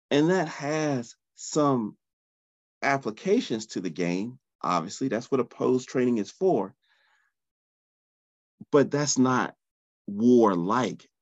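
A man hosting a solo podcast in a groggy early-morning voice, talking in an animated, conversational way and often restarting his phrases. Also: medium pitch, no echo, dull audio. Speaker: podcast host, soccer training founder.

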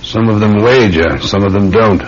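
An actor playing a measured, dry London police sergeant in a classic radio drama. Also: male voice, lightly acted. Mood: skeptical. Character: weary, methodical London police sergeant, a patient uncle. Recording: dull, rumbling, noisy old radio recording.

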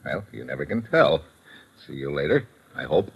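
An actor playing a cocky, wisecracking American pilot with casual, slangy, tough talk in an old radio drama. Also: male voice, a bass voice, sing-song, normal speed, gravelly, highs cut off, loud music behind the voice, heavily acted. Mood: smooth, wry. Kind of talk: old-time radio drama acting and first-person narration. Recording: vintage radio drama recording.

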